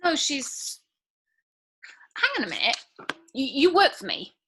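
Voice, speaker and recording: A woman playing a bewildered, searching woman in her late twenties in a scripted drama table read, halting and questioning. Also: sits high, wildly swooping pitch, pushed and bright, a little hiss or hum, full character voice, low-quality recording. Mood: urgent, confused. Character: bewildered, searching 28-year-old woman, lost and disbelieving. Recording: video call.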